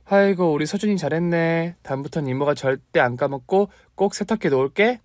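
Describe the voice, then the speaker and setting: Scatterbrained young aunt, warm and high-pitched, read by a man. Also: fairly quick, no room sound, studio quality, close to an everyday voice. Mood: apologetic.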